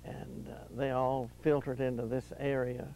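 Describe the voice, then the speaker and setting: A woman, recalling her ancestors in a halting, thoughtful way. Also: extremely low pitch, little pitch movement, dark tone, slight background noise, rough recording. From Western North Carolina. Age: 90s. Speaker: interviewee.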